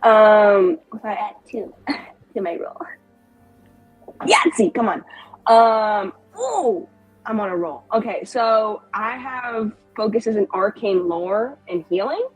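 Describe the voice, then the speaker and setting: A woman chats casually as she plays a tabletop roleplaying game. Her voice is husky and her manner playful, full of goofy exclamations. Faint music sits behind her. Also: mid-range voice, lively intonation, slow pace, rough recording.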